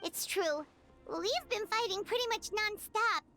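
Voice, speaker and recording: Squeaky, childlike little fairy companion. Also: female voice, unhurried, soft background music, full character voice. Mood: concerned, eager. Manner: expressive, speaks of self in third person. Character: small excitable female fairy companion, childlike. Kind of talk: video game voice acting.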